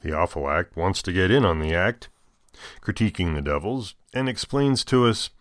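American male, deep register, dry recording. Deacon hosting scripture readings with informal, deliberately phrased commentary. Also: animated, a bit bright, no echo. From United States.

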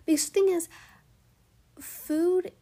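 A hoarse young woman hosting a solo podcast, speaking in an encouraging, heartfelt way, her voice cutting in and out and often dropping to a whisper. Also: very high voice, steady tone, slow pace, warm tone. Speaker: podcast host. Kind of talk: solo motivational podcast talk.

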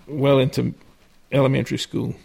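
Older Cajun man with a gravelly voice. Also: mid-range voice, level tone, normal speed, a little mellow. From southern Louisiana. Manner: rambling, folksy, frequent restarts and chuckles. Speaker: interviewee. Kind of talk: personal storytelling.

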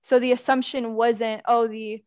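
Earnest young woman, smooth high voice. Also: little pitch movement, measured pace, darkish tone, no room sound, muffled recording. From Afghanistan. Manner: earnest, talks in long winding sentences with restarts. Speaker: guest from a youth education nonprofit. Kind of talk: casual interview chat.

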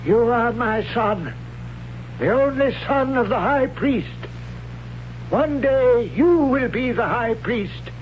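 Brief: a stern old high priest in a radio play, very high and very slow, on a dull old broadcast with a faint hum. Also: male voice, lively intonation, full character voice. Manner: stern and instructive, reciting ritual. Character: stern old male high priest drilling his son. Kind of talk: 1970s radio drama acting.

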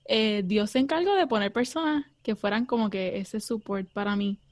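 A woman's voice: medium pitch, moderate pace, dry recording. A young Puerto Rican woman, a theology student, answering interview questions earnestly with many fillers and some English words. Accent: Puerto Rican Spanish. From Puerto Rico.

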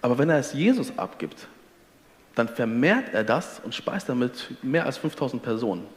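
Man from Ostwestfalen, middle pitch, earnest teacher type, reverberant room. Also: sing-song, normal speed. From Ostwestfalen, Germany. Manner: earnest, teacherly, quotes scripture. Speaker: guest. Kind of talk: faith conversation.

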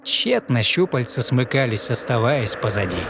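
A man narrating a fairy tale in verse, dramatic and measured, pausing expressively between short phrases. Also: medium pitch, very animated. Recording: studio narration over music. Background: music.

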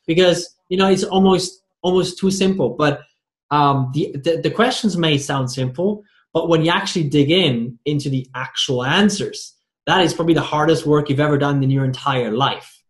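Higher-voiced male interviewer in a roomy space. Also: moderate pace. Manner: rambling, stumbles and repeats words. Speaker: podcast host interviewing a guest. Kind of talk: conversational interview. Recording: roomy, echoey sound.

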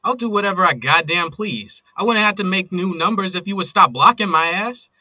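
An actor with an American accent playing a possessive, manipulative ex-boyfriend in an audio drama, coaxing and volatile. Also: male voice, high voice, expressive, a little fast, sharp tone, dry recording, heavily acted, rough recording. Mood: irritated, menacing. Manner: volatile, coaxing with pet names, swearing. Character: possessive, manipulative abusive ex-boyfriend, pleading and menacing.

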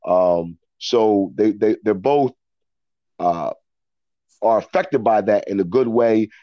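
A middle-aged American man telling reflective personal stories as an interview guest, in a halting way, often repeating words as he thinks. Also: on the low side, no echo, muffled recording. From Philadelphia, United States. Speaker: interview guest, actor and storyteller. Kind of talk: reflective personal storytelling in conversation.

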